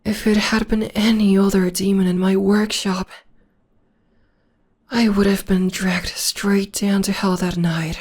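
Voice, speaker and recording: Woman as a breathy, half-whispering, gentle witch girlfriend. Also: mid-range voice, level tone. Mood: fond, reminiscing. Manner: tender, slow, soothing. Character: gentle, loving witch girlfriend comforting her demon partner. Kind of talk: ASMR roleplay, intimate personal attention.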